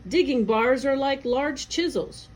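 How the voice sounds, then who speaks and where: Lady reading a kids educational piece out loud, highish voice, really slow and careful, lots of up and down. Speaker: educational narrator, agency staff reader. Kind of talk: scripted read-aloud of a children's educational article.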